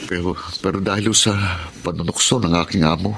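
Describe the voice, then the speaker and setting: A man's voice: low voice, fairly even intonation, fairly quick, gravelly, noisy background. A man playing an earnest, respectful young married worker in a Filipino drama, speaking hesitantly and politely with a Filipino accent. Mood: troubled. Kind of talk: Tagalog radio-style drama acting.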